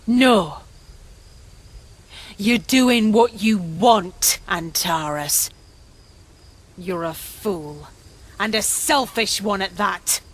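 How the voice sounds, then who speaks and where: A woman playing a bitter, mocking old crone in an animated comic, with a scornful, taunting manner. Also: mid-range voice, very slow, long pauses.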